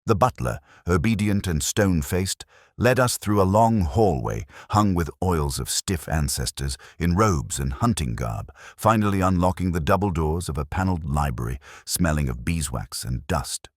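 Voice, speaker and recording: A man with an extremely low voice narrates a detective story. His pitch moves up and down in lively swings, and the sound is dry and close. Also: normal speed, dry recording. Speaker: audiobook narrator.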